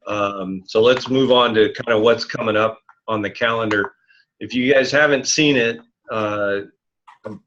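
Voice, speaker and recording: Man hosting, slightly low, muffled voice. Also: a bit bright. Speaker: host of a conference call. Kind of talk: casual meeting facilitation. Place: group conference call. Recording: dull lo-fi conference call audio.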